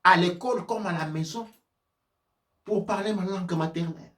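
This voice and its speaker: A man's voice: high-pitched, normal speed, fairly bright tone, reverberant, far from the mic. A man giving an impassioned sermon-like lecture, emphatic and repetitive, driven by rhetorical questions. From sub-Saharan Africa. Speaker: spiritual teacher and preacher.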